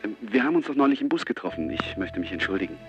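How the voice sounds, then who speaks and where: A man's voice: lowish voice, sing-song, rapid-fire, highs cut off, over music. An actor playing an earnest, awkward, persistent reporter in a radio drama, hesitant and pleading as he talks. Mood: nervous, pleading. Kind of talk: naturalistic German radio drama acting.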